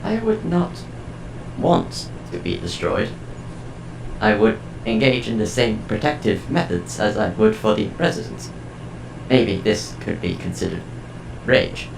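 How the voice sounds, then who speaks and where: Man voicing a creaky, low artificial intelligence. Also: very animated, echoey room, noisy background, full character voice. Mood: reflective, measured. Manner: formal, precise, measured. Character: calm, formal, curious artificial intelligence. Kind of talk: audio drama acting.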